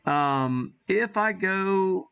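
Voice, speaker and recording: A man's voice: high-pitched, lively intonation, very slow, fairly bright tone, clear, resonant tone. Man livestreaming in slightly dull audio. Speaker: host of a ham radio livestream. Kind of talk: casual live-stream chat and demonstration.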